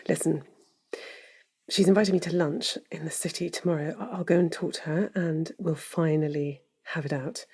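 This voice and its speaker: An actress playing an earnest, lovelorn woman in an audio drama, with a breathy voice and intimate delivery. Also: deep voice, steady tone, normal speed, mellow. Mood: earnest, resolute. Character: earnest, lovelorn woman pleading for her love. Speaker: actor.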